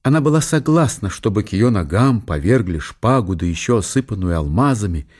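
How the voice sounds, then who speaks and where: A man reading literary narration for a radio play in an expressive, theatrical storytelling manner. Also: fairly low pitch, a little fast. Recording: studio radio play with faint music.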